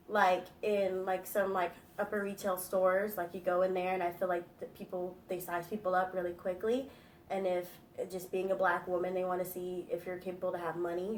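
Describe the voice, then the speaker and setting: A woman in her twenties answering interview questions in a chatty, thoughtful way with many fillers. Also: medium pitch. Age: 20s. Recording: roomy echo, from a distance, faint noise.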